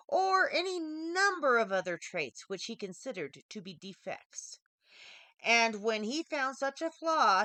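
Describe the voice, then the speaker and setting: A woman reading a scripted fairy tale aloud as a storyteller, with deliberate, dramatic phrasing. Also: fairly high pitch, a bit bright, no room sound. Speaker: author reading her own story.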